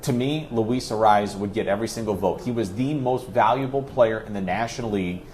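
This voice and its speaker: A man's voice: lowish voice, a little fast, a little mellow, slight background noise, poor audio. A man working as a radio play-by-play announcer, talking sports as a guest in an emphatic, conversational way with rhetorical questions. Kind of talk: animated sports discussion.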